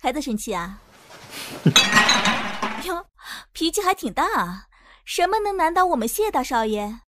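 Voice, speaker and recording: A woman's voice: sits high, big pitch swings, unhurried, sharp tone, a little hiss or hum, heavily acted. A woman playing a shrewd, teasing music-business insider who coaxes in an audio drama. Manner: coaxing, teasing. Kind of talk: radio drama acting.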